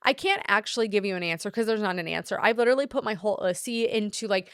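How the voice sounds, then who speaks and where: Casual podcast talk from a blunt woman who swears easily and never hedges. She sounds like a working wedding photographer giving her strong opinions, with an everyday pitch. The sound is dry and close, with no room around her. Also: fast talker, fairly bright tone, clear, resonant tone, dry recording.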